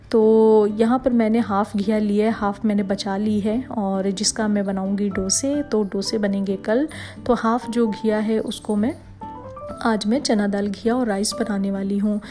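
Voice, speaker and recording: A young woman with a Rajasthani accent, narrating a cooking vlog and explaining each step calmly. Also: mid-range voice. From India. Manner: steady explanatory, step-by-step instructions. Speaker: vlogger.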